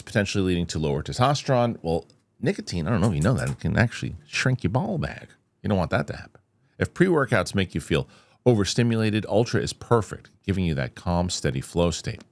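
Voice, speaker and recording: A man's voice: on the low side, no room sound. A man speaking casually as a show host, giving emphatic, conversational commentary straight to his viewers and thinking aloud. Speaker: host of a UFO news show.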